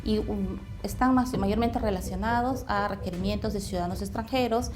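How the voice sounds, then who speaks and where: A woman's voice: mid-range voice, moderate pace, music in the background. A woman official giving a formal, explanatory interview statement. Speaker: justice official.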